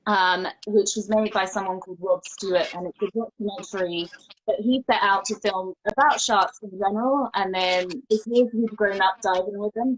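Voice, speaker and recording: A woman's voice: mid-range voice, low-quality recording. Young woman chatting in an interview. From Brittany, France. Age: young adult. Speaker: podcast guest, marine researcher. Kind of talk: casual conversational interview.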